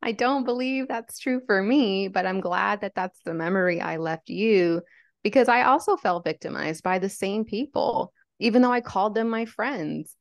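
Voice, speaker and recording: A woman's voice: mid-range voice, normal speed, vocal fry, no echo. A young adult woman from Lebanon, a podcast guest, talking candidly and earnestly with lots of "like" fillers. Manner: earnest, reflective, frequent "like" fillers. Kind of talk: candid conversational storytelling.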